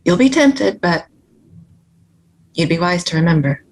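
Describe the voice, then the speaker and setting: A practical woman on the run, played by an actress at a table read, with a slightly low voice. She is wary and keeps coaching her partner through each step, in everyday dramatic dialogue. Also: animated, measured pace, a little hiss or hum. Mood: stern warning.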